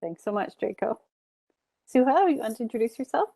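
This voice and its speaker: A woman's voice: a little high, expressive, brisk, gravelly, warm tone, dry recording, studio quality. A woman moderating an online panel, welcoming and introducing each panelist with frequent "um"s. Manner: friendly, filler-heavy introductions.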